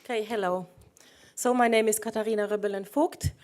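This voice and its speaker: Halting woman presenting. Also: mid-range voice, steady tone, a little mellow. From Finland. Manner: halting, searching for words. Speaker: project manager presenting. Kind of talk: conference presentation.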